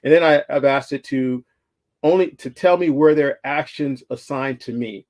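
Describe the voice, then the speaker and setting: A man's voice: medium pitch, normal speed, a little mellow. A man giving a conversational virtual conference talk over slides, with frequent fillers and restarts. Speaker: conference speaker.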